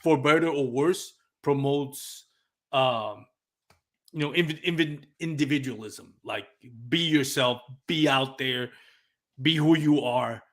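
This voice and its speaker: A man with a Puerto Rican accent hosts a relaxed live podcast in a mid-range voice. English is his second language after Spanish, and he thinks aloud, pausing now and then to find a word. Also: mid-range voice. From Puerto Rico. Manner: reflective, searching for words. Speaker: podcast host. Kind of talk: casual live podcast interview.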